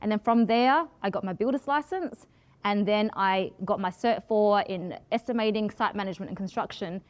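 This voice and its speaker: A woman in her forties, a project manager giving a talk, with a loud voice and a direct, passionate way of speaking. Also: mid-range voice, moderate pace. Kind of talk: presentation talk with Q and A.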